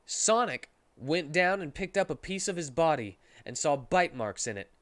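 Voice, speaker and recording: A man's voice: on the high side, sing-song, normal speed, a bit bright, no echo. A man reading a story aloud in a mock-dramatic narrating style, as a streamer.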